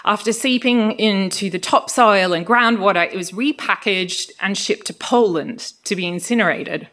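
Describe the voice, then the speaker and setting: A woman's voice: medium pitch, fairly bright tone. Academic woman with an Australian accent. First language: Greek. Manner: measured, academic, with occasional fillers. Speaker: researcher giving a talk. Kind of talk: festival lecture, partly read. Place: lecture venue.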